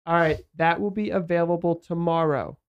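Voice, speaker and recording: A man's voice: a little high, steady tone, normal speed, clear, resonant tone, lo-fi sound. A man hosting a casual studio podcast, nasal, rambling with lots of fillers and acted-out quotes. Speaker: host.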